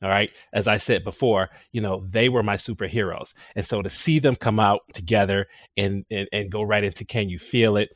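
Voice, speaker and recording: A man speaking as a guest in a casual roundtable, enthusiastic and halting, with many restarts. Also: fairly low pitch, steady tone, dry recording, studio quality. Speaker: panel guest.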